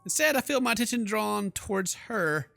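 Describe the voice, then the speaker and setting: A man's voice: sits high, lively intonation, moderate pace, vocal fry, bright, forceful tone, soft background music. A man hosting a game playthrough, reading the text aloud and commenting in a joking, exclamatory, informal way. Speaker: gaming video host.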